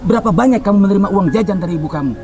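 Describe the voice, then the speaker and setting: Man playing a stern, raised-pitch noodle seller. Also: very fast, mellow, faint music behind. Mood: scolding questioning. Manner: insistent, scolding. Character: stern scolding noodle seller, lecturing a runaway child. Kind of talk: puppet storytelling for children.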